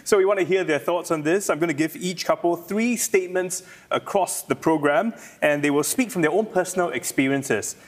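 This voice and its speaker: A man's voice: a little high, moderate pace, few pauses. A man presenting a live segment as a roving host. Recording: clearly noisy background.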